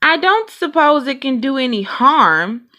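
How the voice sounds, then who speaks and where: Young boy bear cub, played by a woman, storybook style, high and bright, tentative. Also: sing-song, a little slow, highs cut off, full character voice. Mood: unsure.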